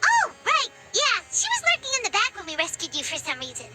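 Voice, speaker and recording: A woman voicing a tiny, naive, childlike fairy companion in a video game, squeaky and chatty. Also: wildly swooping pitch, brisk, exaggerated voice. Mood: curious, surprised. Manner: squeaky, chatty, refers to herself by name. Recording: loud music under the voice.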